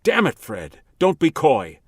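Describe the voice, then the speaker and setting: A man playing a nervous, guilt-haunted attorney in his late thirties in a radio drama, with halting delivery. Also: fairly high pitch, wildly swooping pitch, slightly rough, no room sound, studio quality, full character voice. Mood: panicked, angry.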